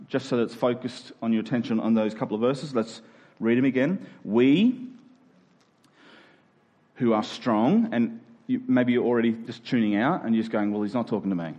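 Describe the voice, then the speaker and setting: A man's voice: a little high, darkish tone. A man with an Australian accent preaching a conversational sermon, explaining step by step and leaning on key phrases. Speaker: pastor.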